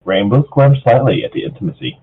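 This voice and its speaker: Male amateur narrator, husky, very animated storytelling with casual asides, thin phone-like sound. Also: mid-range voice, brisk. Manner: breaks off to joke with co-reader. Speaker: narrator of fan fiction.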